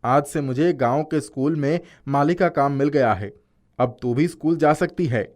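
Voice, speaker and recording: A woman's voice: sits low, normal speed, darkish tone, voice pushed down, lightly acted. A woman voicing a humble, affectionate village father in a storybook tale, plain and fatherly. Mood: pleased.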